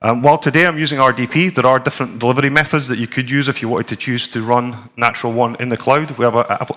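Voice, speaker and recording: Scottish guy in his 40s doing a conference talk + live demo, chatty, lots of ums, middle pitch voice. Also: quick pace. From Scotland. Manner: relaxed, conversational, with frequent ums and restarts. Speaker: conference presenter. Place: conference room with a screen and audience.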